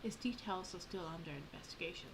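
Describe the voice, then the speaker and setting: A woman hosting a game playthrough, commenting casually and reading text aloud in an informal, halting way. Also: fairly low pitch, expressive. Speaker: gamer, channel host. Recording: clear background noise with game audio.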